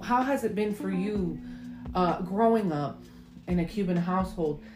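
Young woman, podcast host. Upbeat, gushing delivery, slightly low pitch, echoey room with guitar music underneath. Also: measured pace. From Miami, USA. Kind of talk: casual podcast chat.

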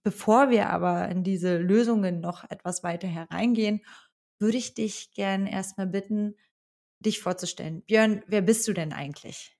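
A woman's voice: mid-range voice, moderate pace, darkish tone. A woman hosting a podcast, reading a careful introduction and asking questions with an occasional filler. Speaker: podcast host.

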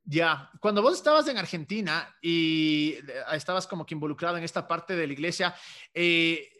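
A man's voice: fairly high pitch, lively intonation, normal speed, bright, forceful tone. A man interviewing a guest, asking long questions in a conversational way. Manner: prompting, asks long questions.

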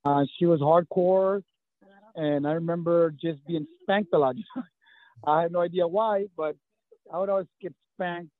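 A man's voice: a little high, steady tone, unhurried. A man in his fifties from South Texas, Spanish his first language, talking in a casual interview with many fillers and restarts. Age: 50s. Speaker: guest, running coach. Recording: tinny phone-like line.

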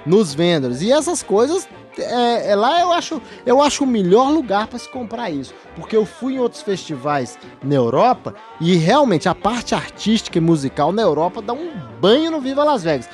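A Brazilian man co-hosting a podcast, chatting casually and telling jokey, animated stories. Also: high voice, normal speed, hardly any pauses, faint music behind. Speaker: podcast co-host. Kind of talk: casual chat.

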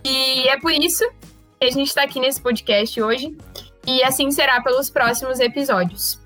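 A talkative 19-year-old woman from the far south of Brazil, her gaúcho accent plain as she hosts a relaxed podcast chat. Her voice sits a little high and carries loudly. Faint music plays underneath. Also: normal speed. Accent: southern Brazilian gaúcho Portuguese. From Rio Grande do Sul, Brazil. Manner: loud, talkative. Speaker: podcast host.

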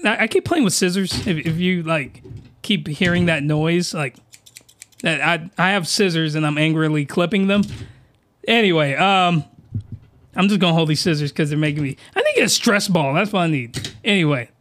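A man's voice: on the high side, normal speed, a bit bright. A man hosting a podcast, recapping an anime in a rambling, mock-outraged way, losing his voice as it cracks. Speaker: podcast host.

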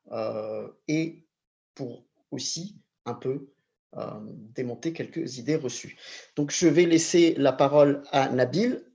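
A man with a gravelly, mid-pitched voice opens a panel talk, presenting each guest in turn. He speaks in a measured way, often pausing on hesitations. The sound is slightly muffled and lo-fi. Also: dark tone. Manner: measured, hesitant with frequent fillers. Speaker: moderator. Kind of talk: introducing a panel discussion.

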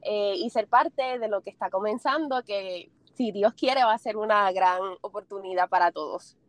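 A woman's voice: medium pitch, moderate pace, no room sound. A young woman from Puerto Rico with a Puerto Rican accent, answering interview questions casually and with a smile, laughing often. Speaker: interview guest.

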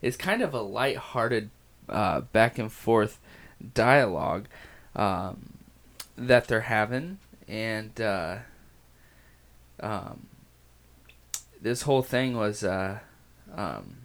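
A man with a raspy voice hosting a casual comic book podcast, talking with many pauses, fillers and restarts. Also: fairly low pitch, expressive, fairly bright tone.

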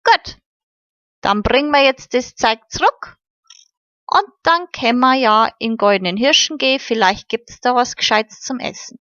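A woman's voice: on the high side, expressive, normal speed, sharp tone, no room sound. A woman reading the part of a practical, slightly bashful young lad. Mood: brisk. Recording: slightly muffled recording.

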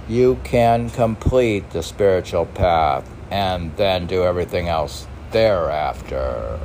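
A man reading poetry and commenting on it informally in a halting, musing, self-questioning manner. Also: sits low, darkish tone, slight background noise. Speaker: poet reading and commenting on own poems.

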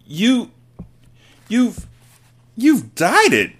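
A shrill middle-aged mother from a storybook, acted out by a man. The voice sits high and swings widely in pitch. She exclaims and scolds her husband with open scorn. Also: expressive, fairly quick. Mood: shrieking outrage.

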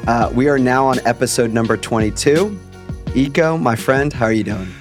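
A man's voice: medium pitch, normal speed, faint music behind. A man hosting a podcast, chatting casually and rambling through stories with the odd swear. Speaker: podcast host. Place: at a park.

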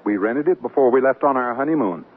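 An actor playing a wry, mildly sheepish newlywed husband in an old-time radio comedy, bantering. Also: male voice, mid-range voice, animated, quick pace, slightly rough, narrow, tinny audio. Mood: wry, placating. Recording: thin, hissy vintage radio recording.

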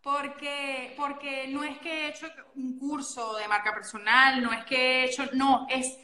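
Bright high Venezuelan woman chatting. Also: measured pace, echoey room. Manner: chatty, animated, self-correcting storyteller. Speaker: guest coach and model. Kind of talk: casual interview chat.

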